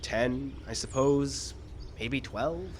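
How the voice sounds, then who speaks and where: A man playing a hesitant but principled screenwriter in a live radio play, speaking in a halting, earnest way. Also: mid-range voice, close to an everyday voice. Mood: hesitant, subdued. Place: live radio show in a park. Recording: live stage recording with background noise.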